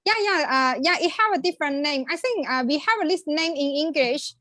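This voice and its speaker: Bright high-voiced woman, halting speech. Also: dry recording, studio quality. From China. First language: Chinese. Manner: halting, many fillers, searches for words. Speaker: participant. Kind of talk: casual online group chat.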